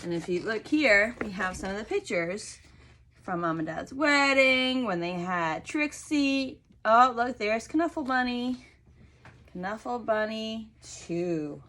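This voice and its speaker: A woman reads a picture book aloud to young children in a fairly high voice with lively intonation. She takes her time and prompts her young listeners with questions. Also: slow, a little hiss or hum.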